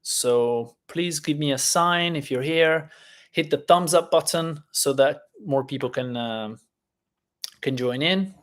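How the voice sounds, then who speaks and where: A man's voice: fairly high pitch, takes it slowly, a bit bright. Chatty Italian man teaching live. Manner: chatty, hesitant, many fillers and restarts. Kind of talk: casual live-stream lesson.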